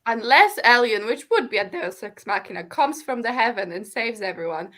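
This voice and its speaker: A woman's voice: fairly high pitch, lively intonation, normal speed, fairly bright tone. A woman co-hosting a casual book discussion over a video call, rambling with lots of "like" fillers. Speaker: book podcast co-host.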